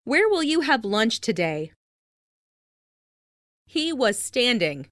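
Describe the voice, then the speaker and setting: Female language-lesson narrator, higher-pitched and unhurried, enunciating each practice phrase. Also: very animated, fairly bright tone, dry recording, studio quality. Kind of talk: scripted reading of English practice phrases.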